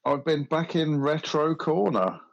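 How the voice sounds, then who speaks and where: A man's voice: a little high, lively intonation, measured pace, creaky, highs cut off. A man co-hosting a gaming podcast, talking casually and hesitantly, often restarting his sentences. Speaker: podcast co-host.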